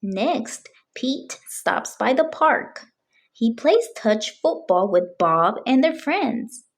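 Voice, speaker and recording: A woman reads a children's story aloud. Her pitch moves around in a lively way, and she takes her time, phrasing each sentence deliberately for young listeners.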